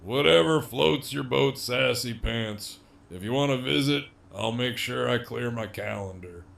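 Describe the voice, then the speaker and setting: Man acting a gravelly, cocky, slow-drawling villain. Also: mid-range voice, fairly bright tone, slight background noise. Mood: needling. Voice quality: gravelly, creaky. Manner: drawling, teasing, profane. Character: cocky, sardonic middle-aged male captive villain. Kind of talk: audio drama acting.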